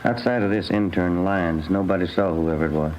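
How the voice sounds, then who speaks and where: A man's voice: sits low, brisk, darkish tone, natural voice. An actor playing a plainspoken, skeptical police detective in a 1950s radio drama, dry and laconic as he questions. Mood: dryly skeptical. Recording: dull old radio recording, faint noise.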